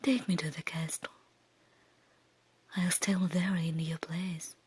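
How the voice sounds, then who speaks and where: A woman voicing a brave, devoted young daughter from a fairy tale in a gentle, breathy whisper. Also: lowish voice, level tone, slow pace, heavily acted. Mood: reassuring, resolute. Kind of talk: whispered ASMR storybook reading.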